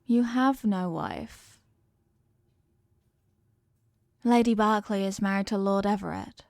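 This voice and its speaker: A woman voicing a possessive, regal evil queen in a scripted ASMR roleplay, speaking formally and in measured phrases, doting yet coolly manipulative. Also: medium pitch, steady tone, dry recording, clean studio sound, lightly acted. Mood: coolly dismissive. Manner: formal, measured, softly commanding.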